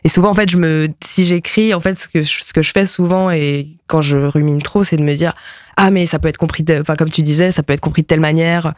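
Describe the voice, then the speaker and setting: A woman from France, a musician guest, speaking in a casual interview, thoughtful and hesitant with many pauses and fillers. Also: deep voice, fairly even intonation, darkish tone, no echo, studio quality.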